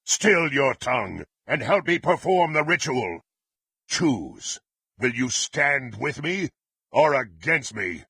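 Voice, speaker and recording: A ruthless half-orc blackguard for a fantasy video game. His voice is gruff and husky, recorded dry and close. He speaks slowly and imperiously, like someone used to making threats and hungry for power. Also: medium pitch, pushed and bright, dry recording, exaggerated voice. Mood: angry, demanding. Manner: menacing, imperious, theatrical declamation.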